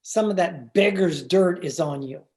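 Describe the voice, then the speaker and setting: A man acting a snobbish, refined young gentleman suitor in an old-time radio drama, haughty and genteel. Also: fairly high pitch, a little slow. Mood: indignant.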